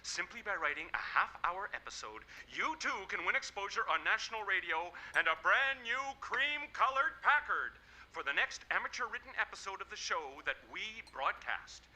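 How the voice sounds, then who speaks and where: An actor playing an eager, polished 1930s radio announcer promoting a serial program. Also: male voice, high voice, sing-song, normal speed, pushed and bright, heavily acted, low-quality recording. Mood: upbeat, promotional. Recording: household radio broadcast, some noise.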